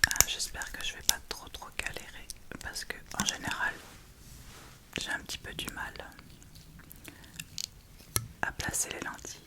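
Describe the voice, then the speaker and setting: A woman whispering a casual ASMR makeup tutorial in a breathy, unvoiced voice, speaking informally and a little hesitantly to the viewer. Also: measured pace, pauses often. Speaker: ASMR video creator and host.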